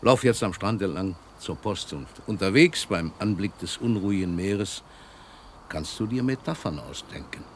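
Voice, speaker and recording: An actor playing an aging, famous poet in a German radio drama, a gruff but patient mentor with a measured, declamatory delivery. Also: male voice, deep voice, animated, a little hiss or hum. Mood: teasing, instructing. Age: older.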